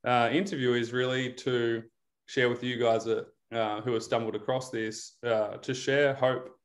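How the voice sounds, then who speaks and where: A man's voice: medium pitch, little pitch movement, moderate pace. A man in his thirties interviewing a guest over a video call, chatty with lots of fillers and laughs. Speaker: interviewer, recovery program coach. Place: indoor room.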